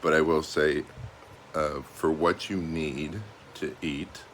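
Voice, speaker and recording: A man's voice: very deep voice, fairly even intonation, takes it slowly, fairly bright tone, slight background noise. A middle-aged American man speaking casually and unscripted, as a vlogger talking to his viewers. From United States. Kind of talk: casual vlog monologue.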